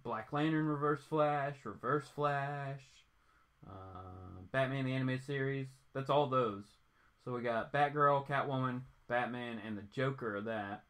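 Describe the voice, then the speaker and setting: A man with a bright, forward voice hosts a casual comics livestream. He rambles along in an animated, geeky way, talking straight to his viewers. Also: medium pitch, a little slow. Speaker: host of a comics reading show.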